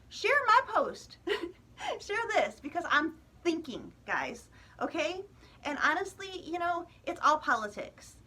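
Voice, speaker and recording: A woman's voice: high voice, bright, forceful tone. An American woman vlogger giving a casual opinion monologue to viewers, chatty and emphatic with exasperated asides.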